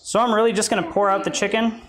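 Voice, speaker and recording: A man's voice: high voice, racing, noisy background. A man hosting a live cooking stream from his home kitchen, chatting casually and explaining each step as he cooks. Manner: relaxed, chatty, explains as he goes. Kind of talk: casual chat while cooking. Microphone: desk mic near the stove.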